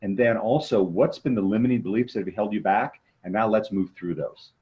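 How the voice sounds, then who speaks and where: A man's voice: lowish voice, fairly quick, highs cut off. A man speaking as an interview guest and motivational coach, explaining things conversationally and posing rhetorical questions. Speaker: guest, motivational coach and martial arts trainer.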